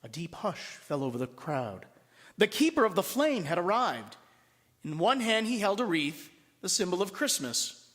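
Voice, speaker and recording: Animated high-pitched man reading in echoing hall. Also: normal speed. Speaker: priest reading a story aloud. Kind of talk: expressive storybook narration to listeners. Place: large church at a Mass. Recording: long reverberant hall.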